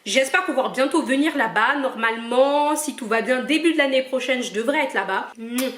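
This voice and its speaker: A woman's voice: high voice, normal speed, fairly bright tone. A young woman from Cameroon, chatting casually as she answers her viewers' questions in a playful, teasing way. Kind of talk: casual Q&A vlog. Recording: echoey room.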